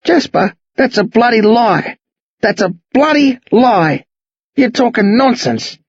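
A man voicing a confused, lonely old Australian man in an audiobook, speaking in halting questions and pleading exclamations. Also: high-pitched, very animated, darkish tone, husky, dry recording, dull audio, pitched above the performer's own voice, exaggerated voice. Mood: distressed, pleading. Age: older.

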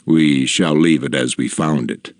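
A man reading an audiobook, voicing a solemn old bearded camp leader with a soft, deep, slow voice. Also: gravelly, dry recording, heavily acted.

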